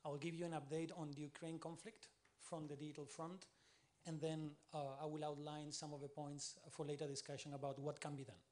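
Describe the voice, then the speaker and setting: A man with a Spanish accent presents at a conference, his voice sitting a little high. He speaks briskly and with energy, dotting his talk with "uh". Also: level tone, darkish tone. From Spain. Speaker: panel speaker, tech specialist.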